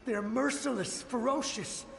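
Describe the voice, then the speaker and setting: A desperate, battle-weary soldier speaks in a high male voice with urgent, halting dread. It is dramatic video game acting, washed in long reverb with faint ambient noise. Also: gravelly, heavily acted. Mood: haunted, urgent. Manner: urgent, halting, fearful warnings.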